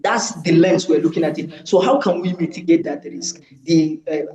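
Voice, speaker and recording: Male panelist, slightly high voice, pushy debater, roomy echo. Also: a little mellow. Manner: argumentative, insistent, with repeated restarts. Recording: echoey room.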